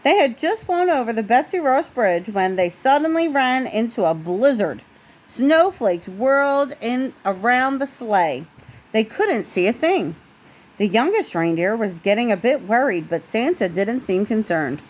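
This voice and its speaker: A woman who is a parent reading a storybook aloud in an expressive way, with casual asides. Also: a little high, a little slow, poor audio.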